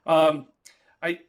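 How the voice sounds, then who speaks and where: A man from New York with a New York accent, whose first language is Italian, giving a halting virtual-class lecture as an economics professor, full of fillers and restarts. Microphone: video call.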